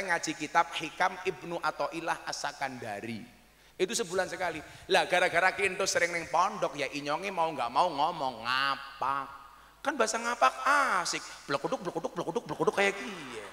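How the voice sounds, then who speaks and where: Male preacher working a crowd with jokes, high bright voice, big reverberant hall. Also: animated, brisk. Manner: joking, teasing the audience, switching into regional dialect for punchlines. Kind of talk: comic religious sermon to a crowd. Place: large hall or outdoor stage at a mosque opening. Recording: echoey hall with long reverb.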